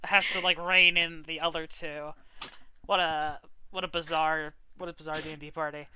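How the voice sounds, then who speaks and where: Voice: mid-range voice, flat delivery, moderate pace, sharp tone, slight background noise. A game master narrating a tabletop game casually and improvising descriptions.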